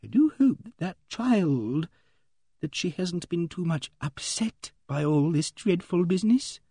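A man plays a genteel old maiden lady from a storybook, pitched high and swooping in her agitation. She is pious and easily shocked, and she speaks in hesitant, fluttering murmurs, recorded dry and close. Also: huge pitch swings, measured pace, warm tone, husky, dry recording, full character voice. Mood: hushed concern. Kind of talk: audiobook character dialogue.

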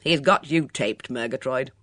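A woman's voice: a bass voice, measured pace, a bit bright, dry recording, studio quality, heavily acted. A woman voicing a brusque, no-nonsense countrywoman in an audiobook, blunt and commanding, with clipped slang. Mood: dry, bossy. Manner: blunt, bellowing, clipped upper-class slang.

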